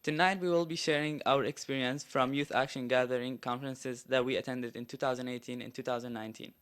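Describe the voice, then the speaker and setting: A man's voice: medium pitch, fairly even intonation, quick pace, a bit bright, clean tone. A teenage boy giving an earnest, prepared presentation at a school board meeting. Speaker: student presenter.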